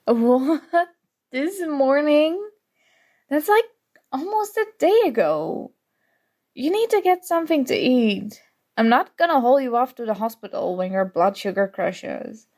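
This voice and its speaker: A woman playing a flustered, teasing young student rival in an intimate ASMR roleplay, soft-spoken, mock-annoyed and hesitant. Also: high-pitched, big pitch swings, unhurried, heavily acted. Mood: candid, concerned.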